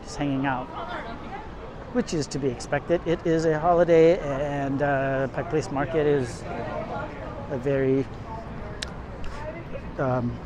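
A man talks his way through a walking tour, casual and rambling, full of ums and restarts. His voice sits at a middling pitch. Behind him a busy crowd chatters and traffic passes on the street. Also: steady tone, slow pace, pauses often. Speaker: vlogger filming a walking tour. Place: outdoors on busy city streets. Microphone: camera held while walking, speaker off screen. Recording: outdoor street with crowd noise. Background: crowd chatter and passing traffic.

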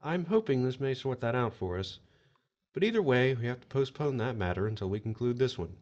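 Actor as an officious, swooping collector of souls. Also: male voice, mid-range voice, lively intonation, brisk, darkish tone, highs cut off, close to an everyday voice. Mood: formal, theatrical. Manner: wry, formal, explanatory. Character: smooth-talking, officious male otherworldly collector of souls. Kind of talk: audio horror drama acting.

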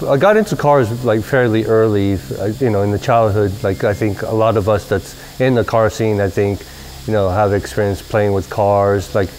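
A man in his forties from Southern California, talking casually in an interview in a large workshop, relaxed and rambling with many fillers. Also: on the low side, normal speed, slight background noise, low-quality recording. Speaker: company president. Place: large workshop garage, indoors.

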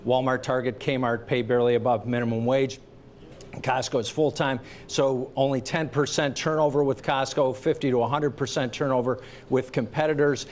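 A man answering in a televised business interview, listing facts briskly with a few fillers. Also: mid-range voice, darkish tone, a little hiss or hum. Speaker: interviewed commentator.

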